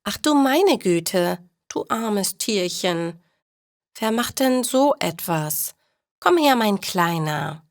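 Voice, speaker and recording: Woman voicing an affectionate, excitable young girl. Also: mid-range voice, takes it slowly, dry recording, studio quality. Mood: tender, shocked.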